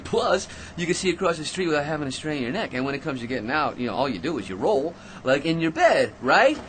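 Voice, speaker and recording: An actor playing an excitable, self-important eccentric in a radio drama, rambling and stammering through grand schemes with theatrical delivery. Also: male voice, on the high side, quick pace, slight background noise. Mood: excited rambling.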